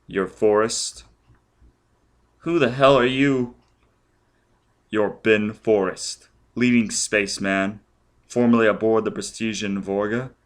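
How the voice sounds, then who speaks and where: A man's voice: lowish voice, fairly even intonation, slow pace, long pauses. A man voicing a ruthless, vengeful man who barks demands and threats. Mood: hard questioning. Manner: menacing, barking demands. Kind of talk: audiobook character voice.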